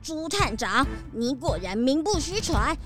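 A small greedy hamster villain for a children's mystery drama. The voice is extremely high, brash and mocking, over clear background music. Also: female voice, sing-song, measured pace. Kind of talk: children's audio drama acting.

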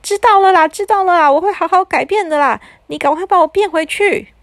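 A woman voicing a whiny young boy in trouble, pleading in drawn-out tones with a Taiwanese Mandarin accent. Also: very high voice, no echo, pitched above the performer's own voice. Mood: whining.

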